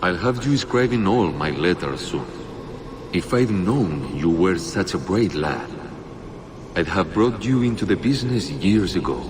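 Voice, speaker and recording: Deep, slow, fatherly merchant. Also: expressive, background noise. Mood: fatherly, encouraging. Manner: unhurried, fatherly, encouraging. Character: demon posing as a doting merchant father.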